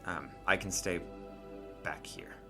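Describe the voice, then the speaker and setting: A man acts out a serious mission leader in a tabletop game, gentle and troubled. The voice is low and clear-edged, with halting, earnest phrasing over faint music. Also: exaggerated voice. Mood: hesitant, resigned.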